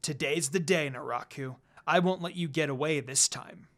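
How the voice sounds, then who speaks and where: A woman voicing a brash, hot-headed young male half-demon warrior in a story reading, yelling and taunting. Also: medium pitch, moderate pace, pitched below the performer's own voice, close to an everyday voice. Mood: smug.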